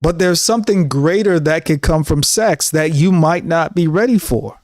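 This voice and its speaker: Man in his thirties, preachy monologue, repetitive, slightly high voice, dry sound. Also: normal speed, a little mellow, no echo. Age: 30s. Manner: earnest, preachy, repeats himself. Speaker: show host. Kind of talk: casual monologue to camera.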